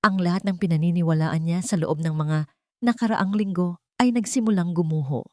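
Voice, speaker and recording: A woman's voice: lowish voice, a little fast, dark tone, no echo. A woman narrator telling a scripted story in a dramatic storytelling style, recorded close.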